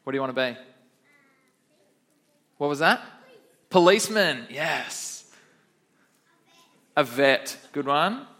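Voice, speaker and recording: A young man with a fairly high voice leads a children's sermon in church. He is upbeat and chatty, and he keeps stopping to quiz the kids in the room. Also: animated, slow, pauses often, pushed and bright. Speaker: kids pastor.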